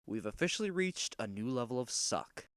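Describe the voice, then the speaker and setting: A man's voice: medium pitch, sing-song, moderate pace, a bit bright, clean tone, no room sound, studio quality. A man voicing a clueless, whiny young superhero team leader, easily exasperated, in a cartoon parody dub.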